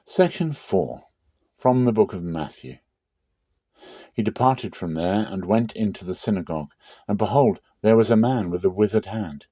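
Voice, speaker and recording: Low-pitched man reading scripture, dark tone. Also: moderate pace, no room sound, clean studio sound. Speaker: Bible reader.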